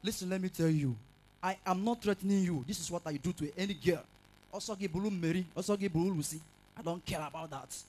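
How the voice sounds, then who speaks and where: A man's voice: high voice, fast, a little mellow, soft background music. A man playing a boastful young campus bully who threatens a woman.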